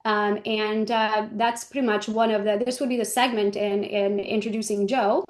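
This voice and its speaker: A middle-aged woman, from Romania, speaking as an interview guest in a lively, self-joking way that ends many thoughts with "right?". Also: medium pitch, normal speed. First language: Hungarian. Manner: lively, jokes about herself, tags "right?". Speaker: guest, real estate agent and coach. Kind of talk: casual interview talk.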